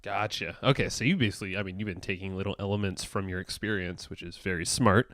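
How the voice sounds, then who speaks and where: A man with a low voice co-hosts a podcast interview. He talks in a friendly, loose way with fillers and frequent laughs. The sound is dry and close. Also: quick pace, a bit bright, dry recording. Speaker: podcast co-host. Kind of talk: casual interview chat.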